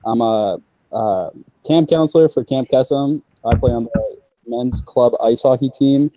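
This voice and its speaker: A man's voice: medium pitch, unhurried, mellow, dry recording, poor audio. A young man from Alberta, Canada introducing himself in a friendly way, rattling off his activities. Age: young adult. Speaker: student ambassador. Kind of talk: casual self-introduction.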